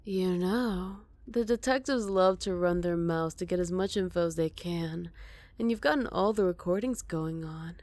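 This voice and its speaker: A woman playing a smug, flirty captured villain in an ASMR roleplay, teasing and coy with breathy murmurs. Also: lowish voice, moderate pace, a little mellow, dry recording, close to an everyday voice. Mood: playful, coaxing.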